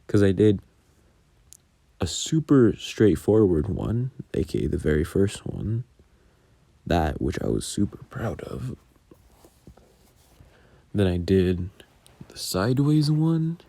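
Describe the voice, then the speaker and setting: A man's voice: lowish voice, lively intonation, slow pace, gravelly, pauses often, dark tone. A man giving casual, rambling behind-the-scenes commentary in a self-deprecating, casually sweary way. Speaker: audio roleplay creator.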